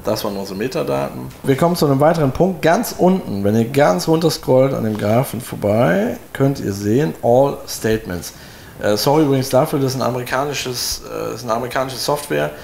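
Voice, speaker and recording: A man's voice: medium pitch, animated, brisk, creaky, darkish tone, slight background noise. A man in his forties, seated indoors, explaining poll results to viewers in a loose, chatty way with many fillers and restarts. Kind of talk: walking viewers through a results screen. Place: room with window blinds, indoors.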